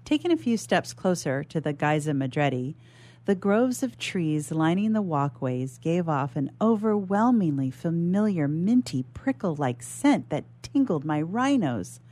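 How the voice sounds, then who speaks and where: A woman giving an expressive first-person reading of a short story as a guest writer. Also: low voice, unhurried.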